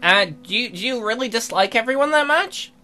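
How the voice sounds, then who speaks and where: An awkward, curious teenage transfer student in a visual novel, played by an American man. He stammers and hesitates in a bright, animated voice over faint music. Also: extremely high pitch, brisk, pitched above the performer's own voice, exaggerated voice.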